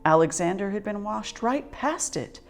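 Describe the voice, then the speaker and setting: A woman reading a children's picture book aloud as an expressive, dramatic storyteller. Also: mid-range voice, normal speed, faint music behind. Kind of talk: expressive scripted story narration.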